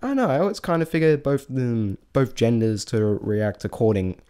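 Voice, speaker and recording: A man's voice: medium pitch, big pitch swings, moderate pace, mellow, smooth. A man giving a casual, unscripted spoken review, rambling and thinking aloud in a self-deprecating way. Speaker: amateur vlog reviewer.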